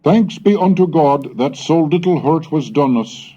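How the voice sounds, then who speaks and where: A man giving a measured, deliberate reading of an old diary aloud, slowing on the dates. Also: a little high, expressive, warm tone. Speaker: reader of historical texts. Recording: dull lo-fi 1970s cassette recording.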